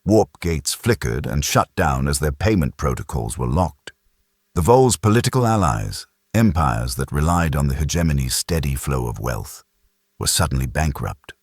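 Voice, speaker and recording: A man with an extremely low voice narrates a sci-fi audiobook. The delivery is measured and dramatic, recorded close and dry with no room sound. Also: big pitch swings, darkish tone, breathy edge, no echo.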